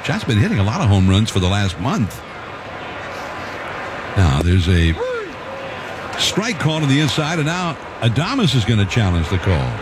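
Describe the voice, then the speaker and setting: A man calling a baseball game play by play in a relaxed, conversational way, correcting himself as he goes. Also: lowish voice, normal speed, pauses often. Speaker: play-by-play announcer. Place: broadcast booth at a ballpark. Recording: ballpark crowd and noise behind.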